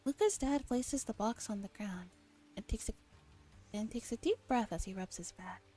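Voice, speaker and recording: A young woman streamer with a soft, breathy voice, reading a visual novel aloud in ASMR style and chatting playfully in casual slang. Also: a little high, lively intonation, moderate pace. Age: young adult. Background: game music.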